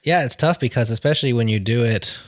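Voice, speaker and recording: An American man hosting a conversational interview, explaining his points in an articulate, measured manner. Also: mid-range voice, dry recording, studio quality. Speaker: podcast host.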